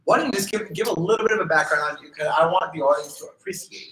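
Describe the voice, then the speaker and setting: A man's voice: a little high, quick pace, a bit bright, roomy sound, slight background noise, poor audio. A man hosting a live podcast, rambling and often restarting his sentences. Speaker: podcast host.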